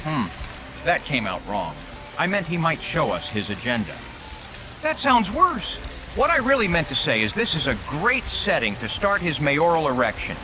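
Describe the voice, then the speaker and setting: A bumbling male news reporter played by a man from a motion comic, fairly high in pitch and very animated. He is vain and squeamish, and keeps correcting himself as he goes. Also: normal speed, music in the background. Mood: flustered, upbeat. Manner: gaffe-prone, self-correcting.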